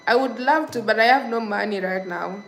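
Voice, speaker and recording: A woman's voice: a little high, normal speed, a little hiss or hum. A young woman from Kenya hosting a casual livestream, reading viewer comments and repeating thanks and subscribe reminders. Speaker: livestream host. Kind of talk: casual live-stream chat with viewers.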